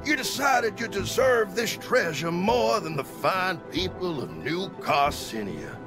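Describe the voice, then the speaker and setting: A man's voice: sits high, very animated, measured pace, over music, exaggerated voice. A man voicing a pompous, sneering, short-tempered boss in a video game, with a Southern US accent and a folksy, condescending, mock-genteel manner. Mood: smug, menacing. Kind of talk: video game cutscene acting.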